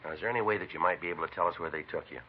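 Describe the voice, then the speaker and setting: An actor playing a terse American police detective in a vintage radio drama, clipped, deadpan and matter-of-fact. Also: male voice, low voice, very fast, close to an everyday voice. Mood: polite, probing. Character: terse, businesslike Los Angeles police detective sergeant. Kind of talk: 1940s-50s radio acting, first-person police narration. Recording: muffled hissy vintage radio recording.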